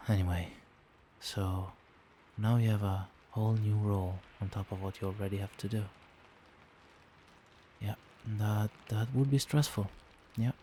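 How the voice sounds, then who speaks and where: A man playing a doting, protective vampire boyfriend in a soft-spoken, soothing and attentive ASMR roleplay. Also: lowish voice, fairly even intonation, unhurried, slight background noise, lightly acted. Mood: tender, concerned. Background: rain.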